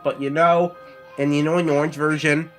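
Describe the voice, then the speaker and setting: A man's voice: fairly high pitch, level tone, normal speed, a bit bright, faint music behind. A man giving casual, off-the-cuff commentary on a children's read-along in a rambling way.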